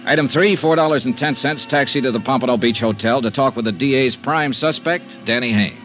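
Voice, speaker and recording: A man's voice: medium pitch, fairly quick, over music, close to an everyday voice. A man playing a cool, skeptical insurance investigator in a radio noir, laconic and probing. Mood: brisk narrating. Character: cool, skeptical male insurance investigator, hard-boiled detective. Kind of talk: 1950s radio noir acting. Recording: noisy vintage radio recording.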